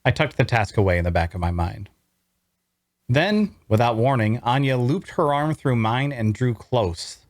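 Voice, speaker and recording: A man playing a wry young traveler, telling his fantasy adventure in measured, dramatic first-person narration at a table read. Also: mid-range voice, expressive, no room sound, studio quality, close to an everyday voice. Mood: wry, observant. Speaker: voice actor.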